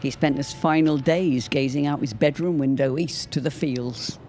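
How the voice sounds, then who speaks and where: A woman's voice: extremely low pitch, steady tone, normal speed, a little mellow, slight background noise. A woman playing a genteel older mother, formal and pointed, wistful yet needling, in a period audio drama. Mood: wistful, subdued.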